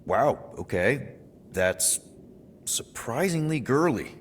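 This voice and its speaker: A man's voice: mid-range voice, sing-song, slow pace, a little hiss or hum. An actor voicing a flippant but good-hearted space adventurer in a video game, casual and a little hesitant in his speech. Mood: teasing, curious. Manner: casual, joking, hesitant when sincere. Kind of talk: cinematic video game voice acting.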